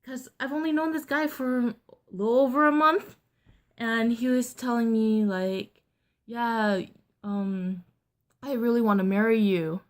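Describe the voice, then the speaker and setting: A young woman vlogger with a deeper voice than most women, telling a personal story in a candid, blunt, chatty way with lots of fillers. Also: fairly even intonation, a little slow. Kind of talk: casual storytime vlog.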